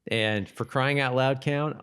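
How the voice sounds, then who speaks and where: A man's voice: medium pitch, steady tone, unhurried, fairly bright tone, clear, resonant tone. A man co-hosting a podcast, chatting casually and informally about a TV show. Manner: informal, conversational. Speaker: podcast co-host. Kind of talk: casual chat about a TV episode.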